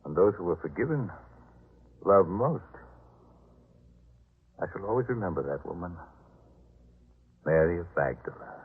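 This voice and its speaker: A man's voice: low voice, lively intonation, a little slow, long pauses, slightly rough, full character voice. A man playing a proud householder, earnest and theatrical, in a 1950s radio drama. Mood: remorseful. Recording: muffled, tinny 1950s radio recording.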